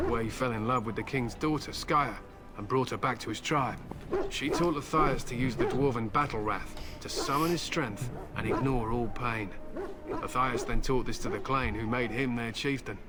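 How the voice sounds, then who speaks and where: A man's voice: mid-range voice, moderate pace, close to an everyday voice. A man voicing a grim, stern warrior. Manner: solemn, curt, proud. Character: stern male human warrior, grim hunter with war hounds. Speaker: game character. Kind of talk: voiced game dialogue. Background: loud game music.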